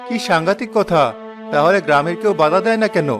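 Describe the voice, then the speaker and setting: A man's voice: high-pitched, fast talker, over music, lightly acted. A man playing a young newcomer woodcutter in a cartoon, polite, inquisitive and sceptical. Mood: curious.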